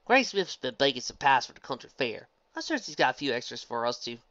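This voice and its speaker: A woman's voice: very deep voice, sing-song, fast talker, a bit bright, no room sound, dull audio, full character voice. A woman voicing a plain-spoken, stubborn female farm pony with a folksy American country accent and an exasperated manner. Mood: friendly.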